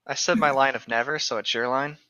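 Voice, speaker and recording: A man's voice: medium pitch, fairly even intonation, fast, bright, forceful tone, clean tone, no echo. A man co-hosting a casual read-along, bantering and sardonic as he mocks the text, on a slightly muffled recording. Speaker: co-host of a comedic book-reading show.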